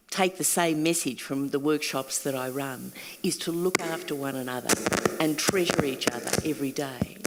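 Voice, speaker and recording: An older Australian woman speaks with earnest conviction, her voice sometimes falling to a hush. She sounds like a panel guest in a large hall, with long reverb trailing her words. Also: deep voice, noisy background. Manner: earnest, reflective, at times hushed. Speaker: panel guest, workshop leader. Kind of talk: candid panel talk. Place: event hall.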